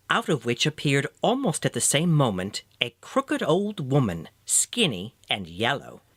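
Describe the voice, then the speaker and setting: A male storyteller reads a fairy tale with measured, expressive delivery. His pitch is medium and swings widely from phrase to phrase. The recording is close and dry. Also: no room sound. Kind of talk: scripted fairy tale narration.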